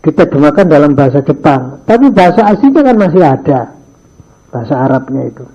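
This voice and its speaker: An older man leads a morning lesson for boarding school students. His voice sits fairly high, and he drifts into phrases and acts out short conversations. The recording is muffled and lo-fi. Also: sing-song, a little fast, dark tone. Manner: mixes in Javanese phrases, acts out dialogues in his stories. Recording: dull lo-fi archive recording.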